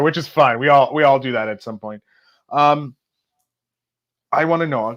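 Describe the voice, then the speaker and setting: A man hosting a podcast, talking in a casual, conversational interviewing style. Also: medium pitch. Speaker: podcast host.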